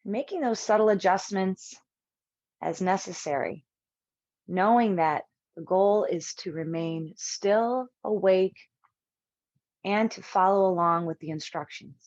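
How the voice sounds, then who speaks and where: A woman instructor leading a guided yoga relaxation, speaking in measured, soothing short phrases with long pauses. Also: mid-range voice, a little mellow, dry recording, highs cut off.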